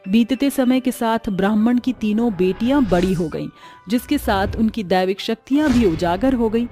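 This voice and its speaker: Mellow female storyteller over faint music. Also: a little high, moderate pace. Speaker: narrator. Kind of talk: scripted dramatic story narration.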